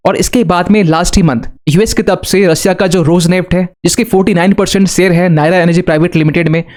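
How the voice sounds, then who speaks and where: Man, high voice, didactic news explainer, mixes in English words, rhetorical questions. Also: a little mellow. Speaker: current affairs presenter. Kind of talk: explanatory news commentary with English terms mixed in.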